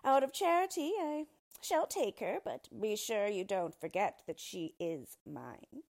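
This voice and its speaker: A woman voicing an ugly little yellow dwarf man from a storybook, smug, sly and disdainful, speaking in a gloating, mock-polite, emphatic way. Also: medium pitch, animated, measured pace, gravelly, pitched above the performer's own voice. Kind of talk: storybook character reading.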